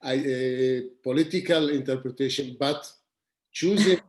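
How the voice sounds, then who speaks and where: A man interviewing filmmakers, asking questions in a halting way with pauses. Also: mid-range voice, a little mellow. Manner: halting, pauses mid-sentence.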